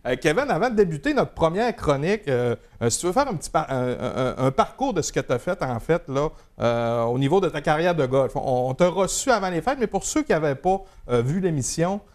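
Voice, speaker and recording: A man with a Québécois accent hosting a sports show, interviewing a golf pro with a halting, stop-start way of asking. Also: a little high, big pitch swings. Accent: Québécois French. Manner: hesitant, many restarts and fillers.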